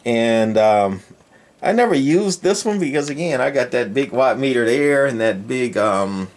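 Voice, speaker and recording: Older man, casual demonstration, rambling, folksy, halting phrasing, medium-pitched voice. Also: expressive. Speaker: radio repair channel host. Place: indoor electronics workshop.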